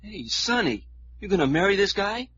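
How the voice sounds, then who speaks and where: A muffled old radio recording carries a hot-headed teenage boy. His voice is high, sharp and raspy. He sounds cornered and resentful, in the emphatic style of vintage radio drama. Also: lively intonation, normal speed, full character voice. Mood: indignant. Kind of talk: 1940s radio acting.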